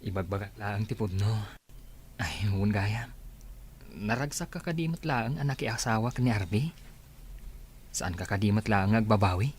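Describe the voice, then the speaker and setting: A man's voice: fairly low pitch, animated, normal speed, pauses often, slightly rough. A man acting a lovelorn rejected suitor in a Filipino radio drama, earnest and dramatic, with a Filipino accent. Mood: pressing, regretful. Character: lovelorn rejected suitor still pining for a married woman.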